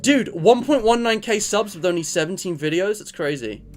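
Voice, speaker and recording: A man's voice: high voice, animated, moderate pace, slight background noise. A young man livestreaming a video game, chatting casually with viewers in a rambling, self-mocking way. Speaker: gaming streamer. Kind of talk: casual live-stream chat. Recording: game music in background.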